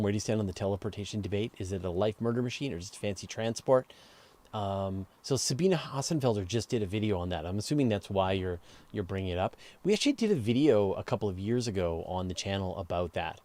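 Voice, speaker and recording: A man's voice: lowish voice, lively intonation, a little fast, a little mellow. A man hosting a casual live question-and-answer show, explaining step by step in a conversational way and stressing key words. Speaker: host of a live space and astronomy Q&A show.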